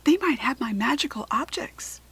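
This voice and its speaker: A small female fairy from a children's storybook, acted by a woman reader, pitched high with a slightly husky edge. She is anxious and indignant, and she pleads with real urgency. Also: lively intonation, moderate pace, bright, forceful tone, heavily acted. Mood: urgent. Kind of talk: children's storybook character reading.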